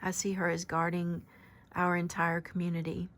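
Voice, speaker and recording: A woman in her fifties with a very soft, somewhat low voice. She talks gently and unhurriedly as she gives an oracle card reading, pausing and restarting as her thoughts come. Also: completely flat delivery. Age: 50s. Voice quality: very soft-spoken. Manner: gentle, halting, with frequent pauses and restarts. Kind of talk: unscripted spiritual card reading.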